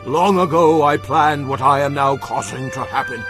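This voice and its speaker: An actor playing a stern prophet who declares God's word in a solemn, emphatic, declamatory way for a dramatized audio Bible. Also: male voice, mid-range voice, normal speed, music in the background, lightly acted. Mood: commanding, wrathful.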